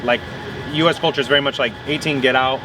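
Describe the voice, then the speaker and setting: A man's voice: mid-range voice, steady tone, fast talker. A man working as a playful, upbeat street interviewer who asks a passerby questions outdoors. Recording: street noise and traffic.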